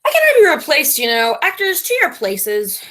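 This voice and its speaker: Actress as self-styled genius director: high, swooping delivery, grandiose and imperious, stage comedy. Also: female voice, wildly swooping pitch, normal speed, a bit bright, heavily acted. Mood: exasperated, demanding. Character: pompous, bossy, self-styled genius female radio playwright. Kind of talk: theatrical stage comedy acting.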